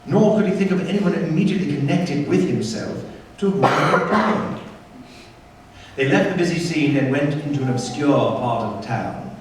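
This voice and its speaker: A man narrating a radio play as a dramatic scripted story, in a measured, theatrical manner. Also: medium pitch, natural voice. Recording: very echoey hall, distant, faint noise.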